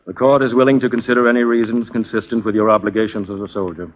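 An impassive army judge played by a male actor in a vintage radio play. He reads formally in a low, very even voice, on a muffled 1940s recording. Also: natural voice. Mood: solemn, official. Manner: formal, measured diction. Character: formal, impassive military court-martial judge.

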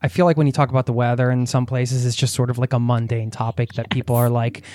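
A man hosting a travel podcast in a relaxed, chatty way, talking in a small side room of a restaurant. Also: medium pitch, quick pace, dry recording. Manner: relaxed, chatty, laughs easily. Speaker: podcast host. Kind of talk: casual interview chat.

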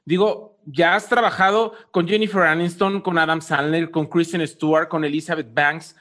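A man co-hosting an interview, asking a measured, list-like question. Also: on the high side, steady tone, a bit bright. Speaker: co-host.

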